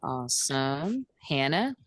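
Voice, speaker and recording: A woman's voice: very deep voice, big pitch swings, no room sound. A woman hosting a dating show call, calling on guests by name and laughing between answers. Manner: brisk, calls names, laughs often.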